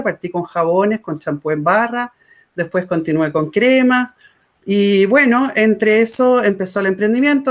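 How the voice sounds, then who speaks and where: A woman from Chile with a Chilean accent, a panel guest telling her personal story in a reflective, unhurried way. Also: mid-range voice. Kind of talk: personal testimony.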